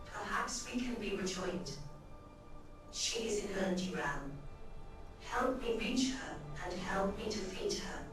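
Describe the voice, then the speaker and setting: A woman playing a solemn undead soul trapped in an ancient machine body in a video game, speaking in a grave, measured, formal way. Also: mid-range voice, natural voice. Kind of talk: scripted video game character dialogue. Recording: heavily echoing distant voice under game music.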